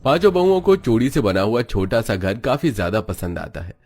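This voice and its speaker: A man reading scripted narration for an animated family story. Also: lowish voice, brisk.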